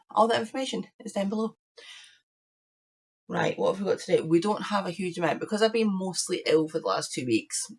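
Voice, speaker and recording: A woman hosting her crafting podcast, chatting casually to camera with a croaky voice while she recovers from illness. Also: low voice. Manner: chatty, rambling, shows knitting to camera. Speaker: podcast host.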